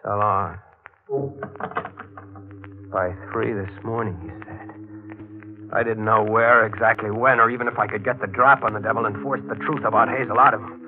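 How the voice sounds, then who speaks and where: An actor playing an earnest, tense newspaper reporter, clipped and dramatic in 1940s radio style. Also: male voice, fairly low pitch, fairly even intonation, moderate pace, pauses often, lightly acted. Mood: hardboiled narration. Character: earnest, tense young-sounding male newspaper reporter hero. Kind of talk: 1940s radio acting and first-person narration. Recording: tinny old radio broadcast, music behind.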